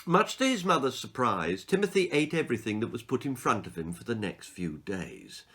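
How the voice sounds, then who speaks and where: Male author-narrator for children's tales: bright, forceful, dramatic and suspenseful storytelling. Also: mid-range voice, big pitch swings, normal speed, breathy edge. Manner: theatrical, suspenseful delivery. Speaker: author reading his own children's story.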